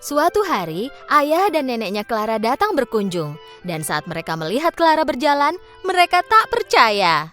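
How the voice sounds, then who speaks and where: A woman's voice: high voice, sing-song, moderate pace, a bit bright, soft background music. A woman narrating a scripted children's bedtime story.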